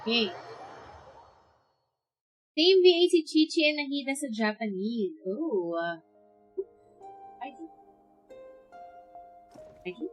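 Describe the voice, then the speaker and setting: A woman's voice: on the high side, sing-song, slow, pauses often, low-quality recording. A woman with a Filipino accent doing casual live gameplay commentary as a streamer, chatty and reacting aloud. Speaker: game livestreamer. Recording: game music behind the voice.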